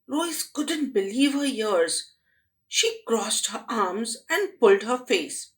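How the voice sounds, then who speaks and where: Female children's storyteller and teacher: highish pitch, unhurried, expressive read-aloud. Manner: addresses small children, deliberate expressive phrasing. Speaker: storytelling teacher at a day care.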